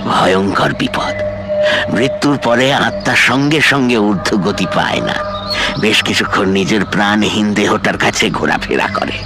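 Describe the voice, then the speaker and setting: A man playing an older, solemn tantric guru in a dramatised horror audio story, speaking gravely and emphatically. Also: lowish voice, moderate pace, over music, close to an everyday voice. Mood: grave warning. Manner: grave, emphatic, theatrical delivery. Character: solemn, commanding male tantric guru warning his disciple.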